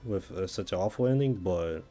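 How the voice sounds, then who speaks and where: A man's voice: deep voice, lively intonation, moderate pace, mellow. A man from Brazil with a Brazilian accent, chatting casually and thinking aloud in a rambling way as he streams a game. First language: Portuguese. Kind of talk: casual chat while gaming.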